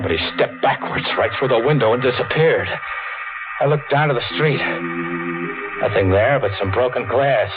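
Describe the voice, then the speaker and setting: Radio noir lead: male actor as streetwise, frightened American gambler, low register, confessional narration, muffled vintage sound. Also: close to an everyday voice. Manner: streetwise slangy speech. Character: frightened small-time gambler on the run, narrating his own tale. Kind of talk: 1940s American radio drama acting. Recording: muffled old radio recording with music.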